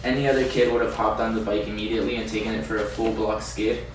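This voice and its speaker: A man's voice: on the low side, level tone, fast talker, lightly acted. A man reading a scripted readers' theatre part as a narrator looking back on boyhood. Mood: reflective, sombre. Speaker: student performer. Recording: echoey room, distant mic, noisy.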